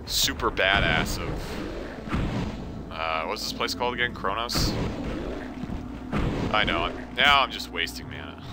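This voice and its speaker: A young man with a slightly low voice talks through his gameplay in a loose, joking way, sounding a little congested. Loud game music plays behind him the whole time. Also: lively intonation, slow, pauses often. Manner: joking, self-talking. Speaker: let's play commentator. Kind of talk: casual gameplay commentary.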